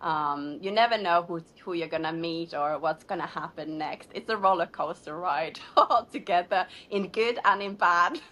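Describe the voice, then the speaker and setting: A woman's voice: fairly low pitch, normal speed, few pauses, fairly bright tone, slight background noise. A woman from Finland, a shoe designer, answering interview questions in a chatty way, full of "like" and light laughs. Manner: chatty, many "like" fillers, laughs between phrases.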